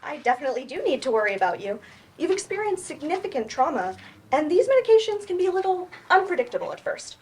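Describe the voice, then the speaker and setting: A woman reading the part of a patient, earnest therapist in a staged play, reassuring and gently firm. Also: high voice, very animated, rough recording. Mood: concerned, reassuring. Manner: reassuring, measured. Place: library event room, staged reading.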